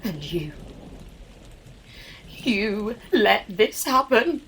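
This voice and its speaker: A woman's voice: medium pitch, sing-song, extremely slow, fairly bright tone, slight background noise, heavily acted. An actress playing a haughty elven noblewoman, commanding and cold in her grief. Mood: cold fury. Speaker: Lady Shiredove.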